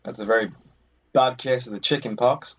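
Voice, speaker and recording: A man's voice: mid-range voice, steady tone, moderate pace, a bit bright, husky. Man doing gaming commentary, dull lo-fi mic. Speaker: gaming video host. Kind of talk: casual let's play commentary.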